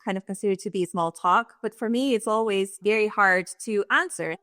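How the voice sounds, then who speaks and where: A woman's voice: medium pitch, moderate pace, pushed and bright, no echo, clean studio sound. Woman from Russia, thoughtful guest. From Russia and Kazakhstan. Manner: thoughtful, laughs lightly between points. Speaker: guest, leadership strategist. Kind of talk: interview conversation.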